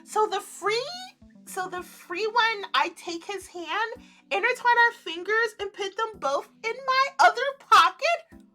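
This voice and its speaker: A woman streamer reading a visual novel aloud in an animated let's-play style, breaking into giddy, giggly reactions and commentary. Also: very high-pitched, measured pace, faint music behind.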